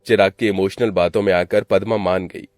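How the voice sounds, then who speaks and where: A man's voice: low voice, steady tone, fairly quick, a little mellow, dry recording, clean studio sound, soft background music. A man narrating a scripted story in a dramatic style.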